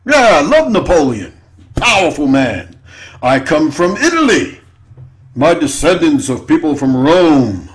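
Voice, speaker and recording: A man's voice: big pitch swings, a little slow. A man hosting an internet radio show, giving an unscripted political monologue with repeated rhetorical questions. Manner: repetitive, rhetorical "right?" tags, sweeping pitch.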